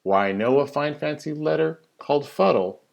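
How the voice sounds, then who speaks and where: A man who works as a teacher reads a children's story in rhyming verse, with an expressive, sing-song delivery that stresses key words. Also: fairly high pitch, normal speed, vocal fry. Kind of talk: animated storybook read-aloud of rhyming verse.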